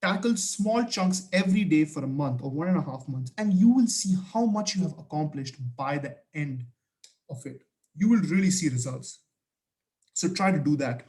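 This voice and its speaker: A man's voice: a little high, animated, moderate pace, a little mellow. A young man whose first language is Hindi, earnestly giving study advice in a casual vlog, often restarting his sentences. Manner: earnest, emphatic, frequent restarts.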